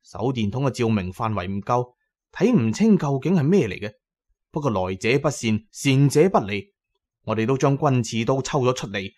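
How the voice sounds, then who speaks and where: Cantonese guy telling an adventure story, mid-pitched voice, dramatic and suspenseful, audio drama narrator. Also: darkish tone. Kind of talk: dramatic scripted storytelling in the first person.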